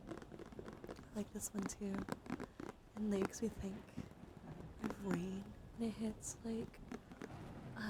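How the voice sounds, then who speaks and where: A woman streaming ASMR in a soft, soothing, partly whispered voice, chatting in a meandering way with gentle repetition, close to the microphone. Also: medium pitch, heavy background noise.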